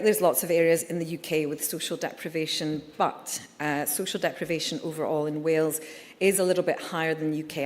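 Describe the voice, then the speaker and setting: A middle-aged Scottish woman, a surgeon giving a candid conference talk with frequent ums and uhs. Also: low voice. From Scotland. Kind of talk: conference presentation. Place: conference hall. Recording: reverberant hall.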